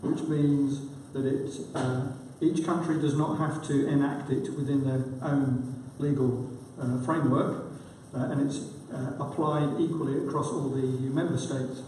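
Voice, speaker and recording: A man giving a conference presentation, his voice croaky from a cold, speaking informally with fillers. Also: mid-range voice, steady tone, a little slow, mellow, slight background noise. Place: large conference hall. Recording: echoey hall, distant mic.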